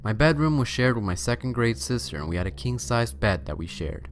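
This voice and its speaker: A man's voice: medium pitch, big pitch swings, fairly quick, slight background noise. A man hosting and reading a scary story as narration, with a theatrical, suspenseful delivery. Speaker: host and story reader.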